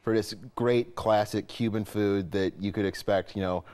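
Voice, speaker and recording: Laid-back low-voiced man reviewer. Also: fairly even intonation, normal speed, a little mellow, clear, resonant tone. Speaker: guest reviewer. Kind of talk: casual chat.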